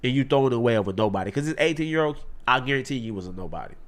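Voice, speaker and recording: A man's voice: medium pitch, animated, rapid-fire. A man in an online commentary video, talking in an emphatic, rhetorical, preachy way.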